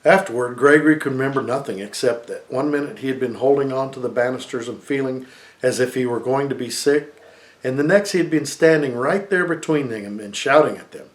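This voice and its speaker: A middle-aged man reads a story aloud in a medium-pitched voice. His delivery is expressive storybook narration, as a father would give to his family. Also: brisk.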